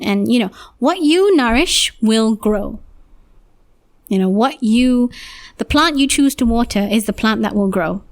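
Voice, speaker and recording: A young woman talks through her thoughts on a solo podcast, her voice a touch hoarse. She rambles and restarts often, reflective and unhurried. Her pitch sits slightly above the usual. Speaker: podcast host.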